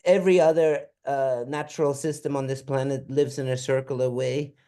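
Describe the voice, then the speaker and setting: A man's voice: mid-range voice, flat delivery, moderate pace, dark tone. A middle-aged man from Austria, an anthropologist, giving a webinar talk over a video call, with many ums and restarts. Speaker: anthropologist presenting his book.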